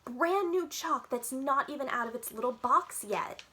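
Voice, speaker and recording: A woman's voice: sits high, lively intonation, moderate pace, pushed and bright, pitched above the performer's own voice. A woman voicing a bossy, chatty little kindergarten girl from a children's storybook, with blunt, emphatic childish phrasing. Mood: excited, emphatic. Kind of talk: children's storybook read aloud in character.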